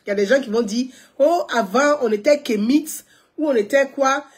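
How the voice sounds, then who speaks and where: A woman's voice: high voice, normal speed, slightly rough. A woman, giving live political commentary to viewers, emphatic, repeating her points and asking rhetorical questions.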